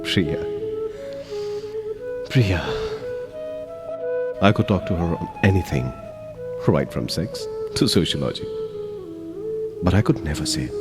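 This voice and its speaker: An actor with an Indian accent playing an awkward, tender young man secretly in love in an audio play, hesitating and repeating his words. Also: low voice. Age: young adult. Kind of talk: audio play acting with introspective monologue. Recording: long reverb, music underneath. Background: music.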